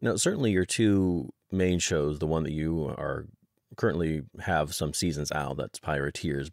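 A man hosting a podcast, asking his guests long questions in a relaxed way. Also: a bass voice, dry recording, clean studio sound. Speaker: podcast host. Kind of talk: interview questions.

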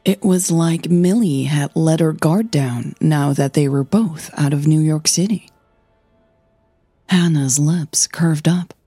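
Dark-voiced woman narrating slowly. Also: deep voice, breathy edge, no room sound, faint music behind. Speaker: audio drama narrator. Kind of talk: scripted fiction narration.

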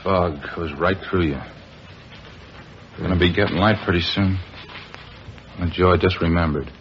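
Deep male voice, American, understated police partner, casual short phrases, 1950s radio drama, muffled recording. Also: unhurried, a little hiss or hum. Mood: weary, chatty. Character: folksy, low-key male police partner on night stakeout.

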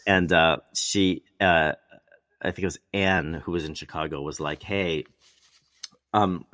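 A man's voice: low voice, steady tone, unhurried, a bit bright, no room sound, studio quality. A male actor telling long, rambling stories in casual chat, often restarting and quoting himself. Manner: rambling, many restarts and quoted asides.

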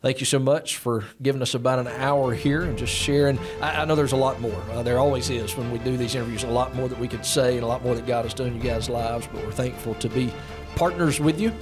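A man's voice: medium pitch, fairly even intonation, fast, few pauses, over music. A man hosting a church podcast interview, encouraging and affirming his guests.

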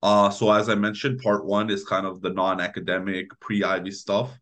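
A man's voice: fairly low pitch, little pitch movement, normal speed, fairly bright tone. A man, around his thirties, moderating an informal panel discussion as its host.

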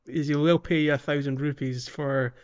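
A man co-hosting a casual gaming podcast, talking in a halting way with thoughts that trail off. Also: fairly high pitch, fairly even intonation, smooth, muffled recording. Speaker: podcast co-host.

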